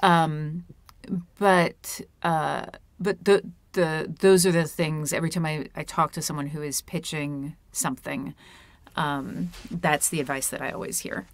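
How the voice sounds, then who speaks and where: A woman's voice: sits low, fairly even intonation, measured pace, no echo. Chatty woman guest on a video call. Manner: chatty, self-deprecating, many fillers. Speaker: author and puppeteer guest. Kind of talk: casual video-call interview. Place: home room with a red curtain. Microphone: wired earphone mic on a video call.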